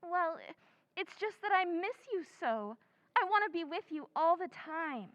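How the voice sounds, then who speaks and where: A woman's voice: extremely high pitch, lively intonation, measured pace, a bit bright, no room sound, exaggerated voice. A woman playing a doting, clingy wife. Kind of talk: old-time radio drama recreation. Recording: dry, dull lo-fi sound.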